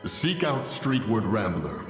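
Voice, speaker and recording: Low, stern ancient immortal. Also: male voice, big pitch swings, slow pace. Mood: grave. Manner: formal, archaic, commanding. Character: stern, wise ancient male adeptus. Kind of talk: game voice acting. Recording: echoing hall effect, music behind.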